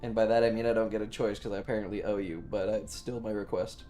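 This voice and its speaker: A man's voice: on the low side, fairly even intonation, quick pace, mellow. A man live streaming a game, chatting casually in a rambling, sweary way. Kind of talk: casual gaming stream chat. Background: game music.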